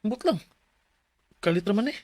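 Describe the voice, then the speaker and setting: A man's voice: sits high, lively intonation, a little fast, darkish tone, dry recording. A man acting an earnest married man in his thirties who tells his troubles in a radio drama. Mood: troubled. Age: 30s. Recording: music bed under voice. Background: music.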